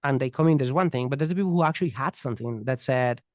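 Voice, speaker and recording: A man's voice: mid-range voice, racing, dry recording, clean studio sound. Man. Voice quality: thick foreign accent. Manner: earnest, emphatic, repeats phrases. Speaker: interview guest, immigration attorney. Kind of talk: unscripted podcast interview answers.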